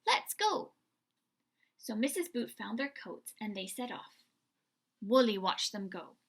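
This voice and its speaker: A woman hosting a read-aloud for young children, telling the story in an expressive, deliberate way. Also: medium pitch, breathy edge. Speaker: children's story reader and host.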